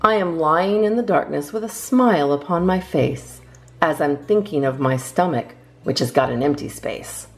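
A woman reading children's poems aloud as a storytime host, with expressive, measured phrasing. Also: low-pitched.

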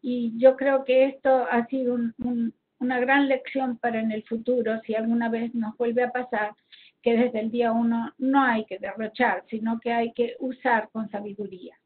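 A woman's voice: fairly high pitch, flat delivery, moderate pace, slight background noise. A woman from Argentina with an Argentine Spanish accent explains slides as a health professional in a seminar, hesitantly searching for words, over an online call connection. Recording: tinny phone-like connection.

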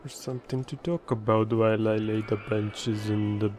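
A man's voice: lowish voice, level tone, normal speed, darkish tone, a little hiss or hum. A man thinking aloud in casual gameplay commentary, rambling and playful, recorded at home. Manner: rambling, playful, breaks into nonsense sounds. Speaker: let's play creator.

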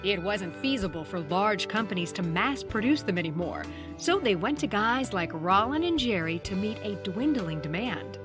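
A woman narrates a scripted voice-over in a middle-pitched voice with a wry touch. Music plays clearly under her. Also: lively intonation, moderate pace. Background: background music.

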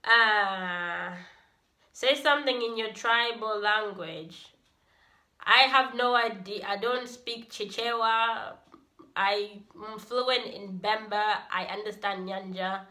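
A young woman with a Zambian accent chatting casually to camera as a vlogger, in an expressive, informal way. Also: mid-range voice, slow pace. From Zambia, Copperbelt. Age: young adult. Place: plain small indoor room.

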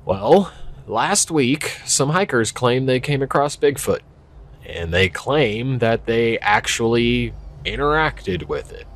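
A man's voice: mid-range voice, lively intonation, slow pace. A man acting a young, skeptical park ranger in an audio drama, speaking casually and hesitantly with a wry edge. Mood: wry, doubtful. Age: young adult. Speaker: voice actor. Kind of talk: naturalistic audio drama dialogue.

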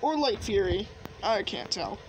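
A woman playing a frank, fatherly knight in an improvised game roleplay, explaining things in a halting, matter-of-fact way. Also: mid-range voice, big pitch swings, background noise. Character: fatherly knight, frank mentor to his son.

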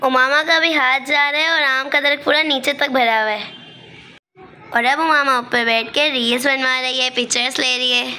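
A woman's voice: high voice, steady tone, moderate pace, sharp tone, smooth. A young woman narrating a vlog as a voice-over, on a noisy amateur phone recording. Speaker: vlogger.

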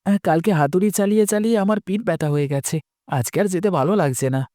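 A woman's voice: fairly low pitch, very fast, warm tone, dry recording, clean studio sound, natural voice. A woman voicing an earnest, protesting young village son in a cartoon. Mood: weary, reluctant. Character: village blacksmith's young son, reluctant but loyal. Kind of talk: cartoon dialogue acting.

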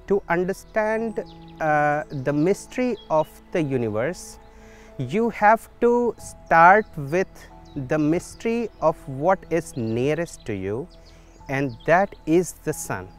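Man in his thirties, documentary interview, unhurried with many pauses, clear voice, faint music behind. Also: on the high side, lively intonation. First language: Hindi. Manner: thoughtful, measured, with frequent pauses and fillers. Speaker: solar physics researcher. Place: outdoors in an institute garden.

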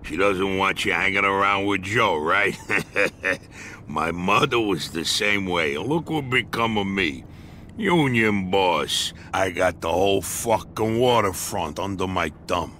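An actor playing a smug, foul-mouthed dockside union boss in a crime video game, with an American accent and a streetwise, chummy, patronizing manner. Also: male voice, low-pitched, animated, takes it slowly, sharp tone, a little hiss or hum, full character voice. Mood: chummy, boastful. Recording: music behind the voice. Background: background music.

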